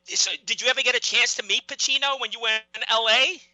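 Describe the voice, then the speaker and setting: A man's voice: extremely high pitch, fairly even intonation, quick pace, bright, forceful tone, no echo, low-quality recording. A man with a Brooklyn accent hosting an interview, stammering and restarting his questions. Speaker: interviewer host. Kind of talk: casual interview.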